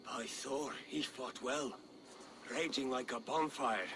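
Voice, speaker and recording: An actor playing a grave Norse warrior in a video game cutscene, speaking in a measured way. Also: male voice, mid-range voice, pushed and bright, a little hiss or hum. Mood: sombre.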